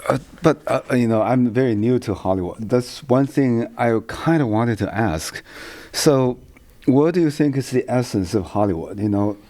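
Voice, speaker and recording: A man's voice: medium pitch, normal speed, mellow. A man hosting a casual interview in a recording studio, chatty and laughing often. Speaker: host.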